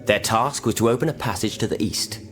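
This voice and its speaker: French revolutionary leader, male actor: low, courteous, formal, rallying, game music underneath. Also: fairly quick, a bit bright, natural voice. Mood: earnest, determined. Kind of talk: video game voice acting. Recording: game dialogue over music.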